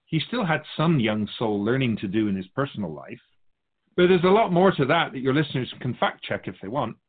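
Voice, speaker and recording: Man playing a wise otherworldly guide with lively inflection. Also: mid-range voice, a little fast, husky, phone-quality sound. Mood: reflective, explaining. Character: the Keeper, wise otherworldly guide of human souls. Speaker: guest answering questions. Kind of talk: conversational spiritual teaching, question and answer.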